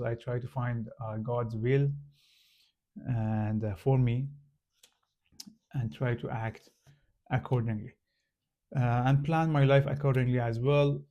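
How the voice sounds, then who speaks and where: A man from Iran with a Persian accent, a native Farsi speaker, casually explaining to the camera with many pauses, fillers and restarts. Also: medium pitch, mellow, muffled recording. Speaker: channel host.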